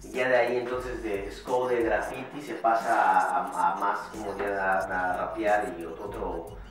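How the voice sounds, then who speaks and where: A man's voice: fairly low pitch, a little slow, recorded from a distance, music in the background. A man, a rapper talking casually as a guest with lots of slang and fillers. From born in Chicago, raised in Mexico City. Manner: relaxed, slangy, many fillers and restarts. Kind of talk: casual interview chat. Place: small home recording studio. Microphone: far camera mic. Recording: echoey room, voice from afar.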